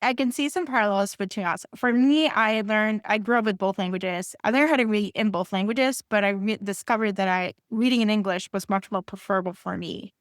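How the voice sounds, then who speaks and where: A woman's voice: medium pitch, brisk, few pauses, dry recording, studio quality. A middle-aged woman from Puerto Rico hosting a book podcast, chatting with enthusiasm and rambling a little. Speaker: podcast host. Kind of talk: casual interview chat.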